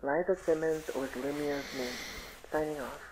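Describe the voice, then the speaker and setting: A woman's voice: low voice, steady tone. A woman acting a serious television news reporter, reading formally in an audio drama through a TV speaker filter. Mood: grave, formal. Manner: formal, measured newsreader delivery. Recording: noisy, crackly television broadcast sound.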